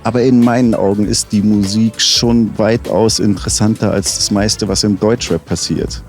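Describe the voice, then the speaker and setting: A man's voice: lowish voice, fairly even intonation, normal speed, a little mellow, faint music behind. A man as a rapper interview guest, chatting casually and enthusiastically with slang and frequent restarts. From Munich.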